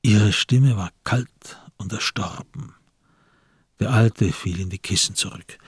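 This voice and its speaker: An actor as the grave narrator of a crime radio play, reading scripted prose in a gravelly, husky voice. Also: male voice, fairly low pitch, slow, no echo, clean studio sound, full character voice.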